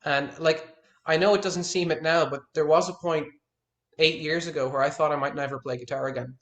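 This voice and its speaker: A man's voice: a little high, fairly even intonation, a little fast, echoey room. A man in his thirties, a guitar teacher, talking frankly in a one-on-one consultation and restarting his words as he stresses his points. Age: 30s.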